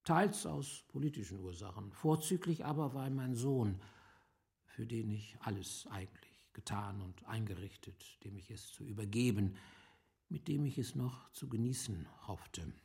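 Worldly older gentleman in a radio drama, low gravelly voice, resigned, reflective. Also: expressive, unhurried. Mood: wistful, resigned. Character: well-travelled gentleman guest, older father, worldly and resigned. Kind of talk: literary radio play dialogue.

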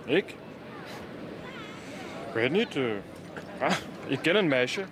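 Polite young waiter, lightish voice. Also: male voice, fairly high pitch, lively intonation, takes it slowly. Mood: shy, cheeky. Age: young adult. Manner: polite, proper, mildly teasing. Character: polite, well-spoken young male student waiter. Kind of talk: radio play acting. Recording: noisy outdoor ambience with crowd.

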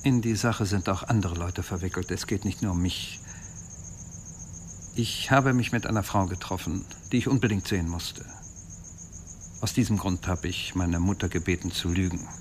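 A man's voice: deep voice, normal speed, slight background noise, close to an everyday voice. A man playing a defensive, uneasy married suspect earnestly explaining himself to police in a radio crime drama.

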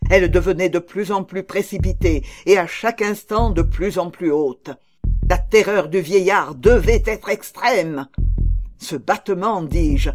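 Male narrator as obsessed madman confessing murder, audiobook drama. Slow, theatrical delivery, slightly high voice. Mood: agitated, frenzied. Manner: deliberate, halting, theatrical, with insistent repetitions. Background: music under the voice.

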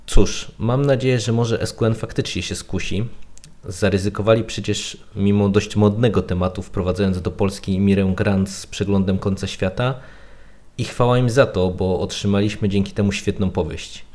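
A man's voice: fairly low pitch, fairly even intonation, normal speed, a little mellow. A man speaks as a podcast guest. He delivers his opinion as prepared commentary.

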